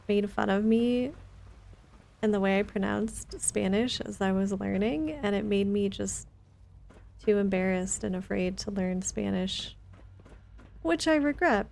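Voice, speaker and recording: A woman's voice: mid-range voice, vocal fry, darkish tone. A woman chatting casually on a game livestream, conversational and rambling as she thinks aloud. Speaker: game streamer. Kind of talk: casual livestream chat while playing a game.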